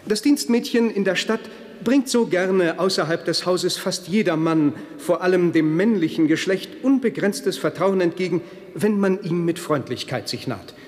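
Male actor, preachy churchman, solemn declamation, radio play, long hall reverb, slightly high voice. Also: normal speed, a little mellow, natural voice. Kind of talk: radio play acting, formal speech.

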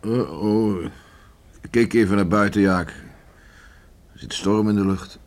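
An actor in a Dutch radio play, playing a stern, stubborn middle-aged farmer father troubled by remorse, brooding and halting. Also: lowish voice, a little mellow. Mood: evasive, hesitant. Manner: brooding, halting, muttering to himself. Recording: faint background noise on radio recording.

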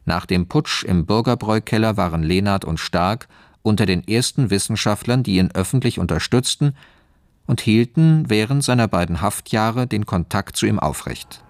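A man reading scripted historical narration for a radio play in a measured, factual manner. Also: fairly low pitch, no echo, clean studio sound.

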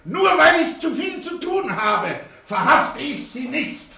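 An officious, furious air-raid warden in a radio drama. His voice is high and sharp and swings widely as he scolds. It rings from some distance in an echoey room. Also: sing-song, slow, vocal fry, exaggerated voice. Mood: outraged, threatening. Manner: barking, hectoring. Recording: echoey room, far from mic.

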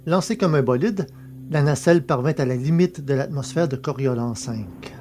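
A male narrator reads a scripted story in a mid-pitched voice. He sounds subdued, with a mild sore throat, and faint music runs underneath. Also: moderate pace, a little mellow. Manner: subdued, low energy. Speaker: narrator and podcast presenter.

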